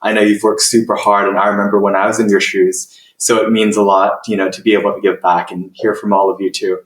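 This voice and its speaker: A man's voice: lowish voice, level tone, fast. A young man from Malaysia and Singapore, a senior student guest, giving a friendly self-introduction with casual fillers. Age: young adult. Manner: friendly, uses "you know" fillers. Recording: echoey room, distant mic.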